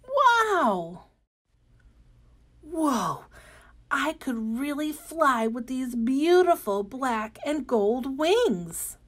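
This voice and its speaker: A cheerful, wide-eyed little butterfly from a children's picture book, voiced by a woman. The voice sits fairly high and takes its time, gentle and expressive, with excited exclamations. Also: exaggerated voice. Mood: excited, delighted. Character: cheerful, wide-eyed young monarch caterpillar turned butterfly. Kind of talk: animated read-aloud of children's picture book.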